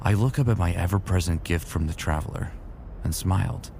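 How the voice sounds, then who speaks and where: Deep, gravelly man playing a brooding space soldier. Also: fairly quick, darkish tone, a little hiss or hum. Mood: reflective. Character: weary, remorseful male Titan space soldier and narrator. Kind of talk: first-person dramatic narration and dialogue, sci-fi audio drama.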